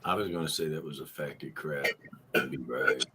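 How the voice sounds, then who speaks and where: A man's voice: extremely low pitch, normal speed, heavy background noise. A middle-aged man with a Missouri accent, joking and teasing as a co-host on a casual live morning show. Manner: joking, teasing, lively back-and-forth. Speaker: regular co-host. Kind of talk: casual live-stream banter.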